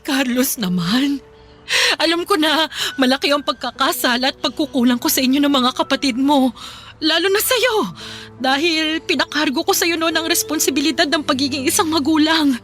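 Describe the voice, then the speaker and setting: High-pitched Filipino mother, middle-aged, remorseful, tearful, halting, pleading, radio drama, faint music behind. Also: fairly bright tone. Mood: guilty, pleading. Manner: tearful, halting, repeating herself.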